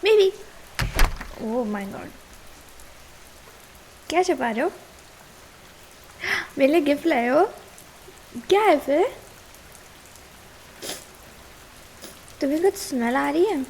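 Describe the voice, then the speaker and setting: A young Indian girlfriend, played by a woman in an ASMR roleplay, with a high-pitched voice and slow delivery. She is caring yet suspicious and quick to nag, in a casual way. Faint hiss and rain sit behind her. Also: lively intonation, a little mellow, heavily acted, rough recording. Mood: proud, musing. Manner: casual, nagging, switches between two languages. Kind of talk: ASMR girlfriend roleplay, Hindi mixed with English.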